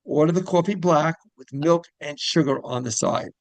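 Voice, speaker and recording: A man's voice: fairly high pitch, normal speed, vocal fry, no room sound. A man hosting a casual interview, talking in a rambling, repetitive way. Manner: rambling, repeats phrases, griping about pet peeves. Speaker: interview show host.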